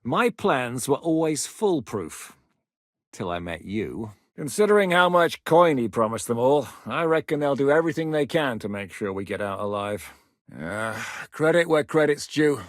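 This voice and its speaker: Guy voicing a level-headed soldier laying out plans, mid pitch, dry studio sound. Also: animated, no room sound, lightly acted. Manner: measured, matter-of-fact plan-laying. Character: calm scheming male soldier planner. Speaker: military planner in a video game. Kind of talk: game voice lines.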